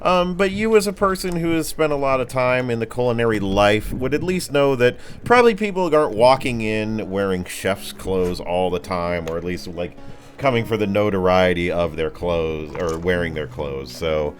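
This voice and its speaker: A man's voice: fairly low pitch, normal speed. A man narrating a tabletop fantasy game as game master, improvising descriptions casually with slangy asides. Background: background music.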